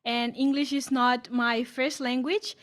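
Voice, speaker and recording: This is a high-pitched young woman from East Timor whose first language is Tetun. She answers in English on a video call. She keeps dropping in "like" and often stops and restarts her sentences. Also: level tone, fairly bright tone. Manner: frequent "like" fillers, halting restarts. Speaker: student panelist. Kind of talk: online Q&A answer.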